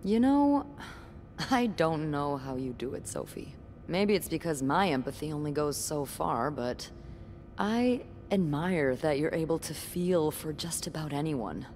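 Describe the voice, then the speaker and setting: A woman's voice: low-pitched, lively intonation, a little slow, a little mellow, in a large hall, slight background noise. A woman voicing a wry, chatty hotel receptionist in a narrative video game. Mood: amused, admiring.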